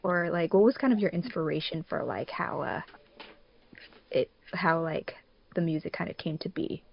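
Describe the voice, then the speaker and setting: A woman hosting a casual podcast chat, thinking aloud haltingly and leaning on filler words as she puts questions to her co-hosts. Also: sits low, lively intonation, vocal fry, lo-fi sound, a little hiss or hum. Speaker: podcast host and moderator. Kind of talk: casual podcast chat among a fandub crew.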